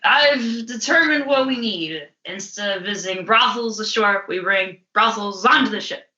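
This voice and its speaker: A woman's voice: mid-range voice, normal speed, bright, forceful tone, reverberant, dull audio, natural voice. A woman voicing a drunken, bitter pirate shipmate from a comic, rambling and melodramatic. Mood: tipsy scheming.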